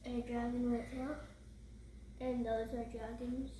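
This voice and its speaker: A high female voice chatting casually in simple, halting, repetitive phrases, pointing things out. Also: level tone, mellow. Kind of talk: casual, unscripted pointing out of picture details. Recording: roomy echo with background noise.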